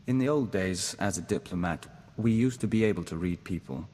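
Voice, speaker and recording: Game companion, male actor: composed hive-joined diplomat man, low register, formal and earnest, heavy reverberation. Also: a little fast, a little mellow, lightly acted. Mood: measured, direct. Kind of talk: scripted video game companion dialogue. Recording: long reverb, audible background noise.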